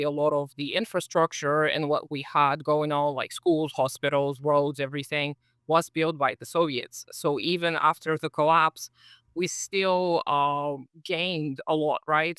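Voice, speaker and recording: A man, speaking from home as a podcast guest in a relaxed, reflective way, with lots of "uh" and "so". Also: fairly high pitch, clear, resonant tone, dry recording. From Kazakhstan. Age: 30s. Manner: friendly, reflective storyteller with frequent "uh" and "so". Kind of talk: casual interview chat.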